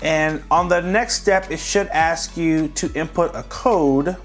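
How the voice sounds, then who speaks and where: A man hosting a tech tutorial, calmly narrating each step of a device reset in a casual, friendly way. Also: on the high side, slow pace, faint music behind. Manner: friendly, relaxed, walks viewers through each step.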